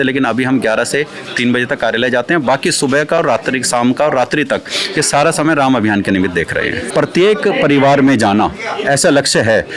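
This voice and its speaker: A man's voice: medium pitch, a bit bright. A man volunteering on a fundraising drive, explaining his work in an interview. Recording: busy crowd noise behind.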